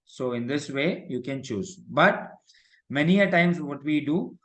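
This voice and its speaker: A man's voice: on the high side, animated, a little slow, fairly bright tone. Indian-accented man teaching a class. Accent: Indian English. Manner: repetitive check-in tags like "right" and "okay". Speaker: digital marketing instructor. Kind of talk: live online software lesson, explanatory.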